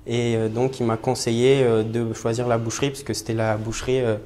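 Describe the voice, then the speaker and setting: A man's voice: medium pitch, level tone, a little fast, darkish tone. A young man in his twenties from French Guiana, an apprentice butcher, talking about his training with frequent hesitations. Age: 20s. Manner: hesitant, many fillers. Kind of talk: interview portrait.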